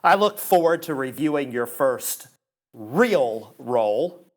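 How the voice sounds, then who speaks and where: Here is a haughty, sneering critic for a radio play. He takes his time over every condescending phrase, and his pitch swoops grandly with self-importance. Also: lively intonation. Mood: coldly menacing. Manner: haughty, condescending, slow and deliberate insults. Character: pompous, sneering, feared male theatre critic.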